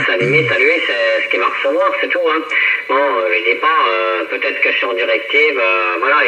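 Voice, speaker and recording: A man with a very bright, forceful voice chats over amateur radio, repeating himself as he goes. The signal is dull and echoey, with faint noise behind it. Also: mid-range voice. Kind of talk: casual two-way radio chat. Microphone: two-way radio microphone. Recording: dull, echoey, slightly noisy radio link.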